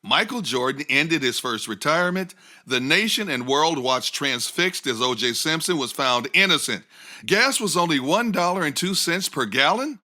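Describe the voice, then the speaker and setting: A man's voice: fairly high pitch, pushed and bright. A man co-hosting a sports podcast, reading scripted lines with a wry, nostalgic tone. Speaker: podcast co-host. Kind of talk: scripted narration.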